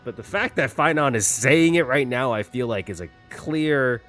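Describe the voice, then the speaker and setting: A man's voice: medium pitch, expressive, moderate pace, a bit bright, soft background music. A man giving casual reaction commentary on a game story, thinking aloud and rephrasing as he theorizes.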